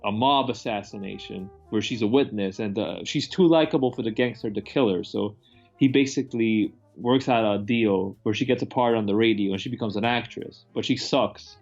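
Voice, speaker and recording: A man reviews films in a loose, rambling chat. His voice has a creaky, gravelly edge and he is opinionated and casually profane. The audio is slightly dull, with music playing underneath. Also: fairly low pitch, moderate pace, a little mellow. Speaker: movie review host.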